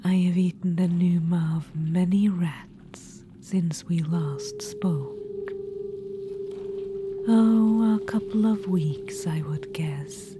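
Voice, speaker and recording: A woman's voice: lowish voice, takes it slowly, background noise. A woman voicing an imperious, gleefully macabre undead witch-queen in a whispered audio roleplay, cooing "darling" at the listener. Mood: doting menace.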